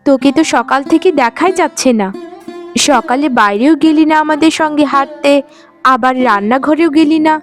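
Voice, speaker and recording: A woman's voice: sits high, moderate pace, natural voice. A woman voicing a protective, righteous elder sister-in-law in a cartoon, speaking in a firm, reproachful, concerned way. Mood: concerned, coaxing. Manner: coaxing yet firm, reproachful. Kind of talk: melodramatic cartoon voice acting. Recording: music behind the voice. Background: music.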